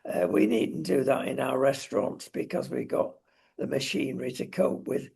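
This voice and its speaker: A man with a husky, gravelly voice co-hosting a talk show, giving opinions and advice in emphatic, deliberate phrases. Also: mid-range voice, expressive, darkish tone. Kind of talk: opinionated casual discussion.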